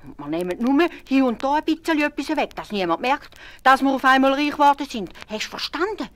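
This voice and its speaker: A woman's voice: sits high, lively intonation, brisk, a bit bright, no echo, pitched above the performer's own voice. A woman voices a poor, kindly woodcutter in a storybook, speaking with a Swiss German accent. He talks in an urgent, insistent way that sounds like he is hiding something.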